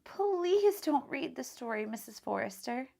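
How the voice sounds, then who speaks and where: A shy young boy from a children's storybook, read by a woman. The pitch is lifted above the reader's own. He sounds earnest and sincere, speaking in simple phrases. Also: big pitch swings, measured pace, creaky, warm tone, exaggerated voice. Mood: pleading.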